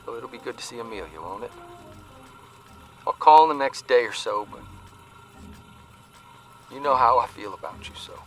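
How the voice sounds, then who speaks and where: A man's voice: mid-range voice, expressive, normal speed, long pauses, faint music behind, close to an everyday voice, rough recording. A man playing a folksy newspaper columnist and caring husband in a radio drama, with a wry, homespun, conversational way of talking. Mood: tender, worried. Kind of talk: radio drama, storytelling monologue.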